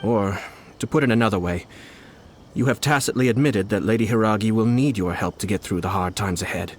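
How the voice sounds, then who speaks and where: A composed noble commissioner, played by a man in a game cutscene, low and unhurried. He weighs every word with courteous calculation. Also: a little hiss or hum, natural voice. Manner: measured, polite and calculating. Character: calm, scheming young male noble commissioner. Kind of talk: video game cutscene dialogue.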